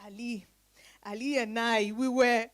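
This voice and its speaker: A woman acting a distraught, shaken mother who speaks haltingly in a dramatized reading. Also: on the high side, steady tone, close to an everyday voice. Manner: halting, repeating herself. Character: Essie, distraught, shaken young mother fleeing a fight. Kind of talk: dramatized stage-style reading. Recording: noisy recording with clicks.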